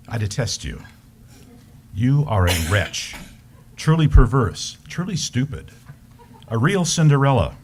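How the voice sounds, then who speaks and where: A man playing a jealous, wounded Napoleon, berating his wife in a theatrical, accusing letter reading with a husky voice. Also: mid-range voice, a little mellow, slight background noise. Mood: scornful. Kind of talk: dramatic reading of a love letter on stage.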